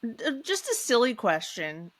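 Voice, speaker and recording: A woman's voice: fairly high pitch, big pitch swings, measured pace, no room sound. A woman co-hosting a podcast, chatting and asking questions casually. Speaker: podcast co-host. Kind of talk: casual chat.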